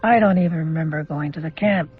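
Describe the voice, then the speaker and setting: An older man from Canada shares memories in a fairly high voice, speaking informally and plainly. The recording is a little dull, with music underneath. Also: fast. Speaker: interviewee recalling childhood. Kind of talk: informal reminiscence.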